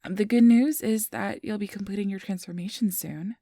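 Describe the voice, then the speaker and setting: Woman doing a friendly flustered office clerk for asmr, mellow slightly creaky voice, close and dry. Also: medium pitch, fairly quick, dry recording, lightly acted. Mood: flustered, reassuring. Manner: gentle, reassuring, hesitant. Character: friendly, flustered female clerk registering new vampires. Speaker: interviewer. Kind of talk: soft-spoken ASMR roleplay.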